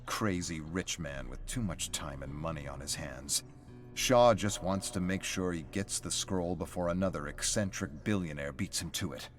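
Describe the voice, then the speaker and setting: A man's voice: deep voice, normal speed. A man reading scripted story narration as the narrator of a game's lore. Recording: faint music under the narration. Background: music.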